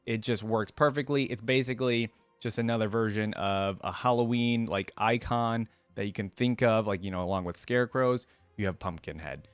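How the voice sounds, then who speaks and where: A man's voice: fairly low pitch, moderate pace, few pauses, clean tone, dry recording, faint music behind. A man hosting a reaction video, giving casual, informal off-the-cuff commentary and thinking aloud as he goes. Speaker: video host reacting to game content.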